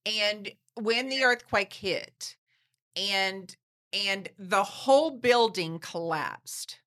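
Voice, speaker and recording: A woman's voice: medium pitch, drawn-out words, fairly bright tone. A woman hosting an interview, asking questions and encouraging her guest. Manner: encouraging, brief affirmations. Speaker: host.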